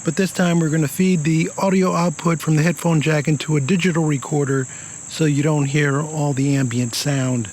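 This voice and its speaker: A man reviews a small radio in a plain, measured, scripted narration. His voice sits a little high for a man, and he keeps a steady, even delivery. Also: a little hiss or hum. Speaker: product reviewer.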